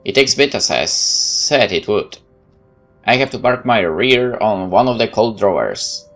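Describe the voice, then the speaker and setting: A man with a fairly low voice narrates a visual novel as a let's player. He slips between reading and casual, wry commentary while game music plays faintly. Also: lively intonation, measured pace, a bit bright. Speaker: gaming YouTuber.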